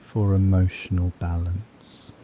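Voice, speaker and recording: A man guiding a scripted meditation in a soothing, gentle, measured way, leaving long pauses between phrases. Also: low-pitched, level tone, a little hiss or hum. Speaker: meditation guide.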